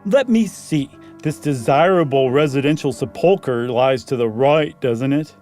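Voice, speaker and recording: A man's voice: mid-range voice, a little slow, a little mellow, soft background music, lightly acted. A man voicing a grumbling, comfort-loving ghost hunter in a story reading, complaining in a droll way. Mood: sardonic.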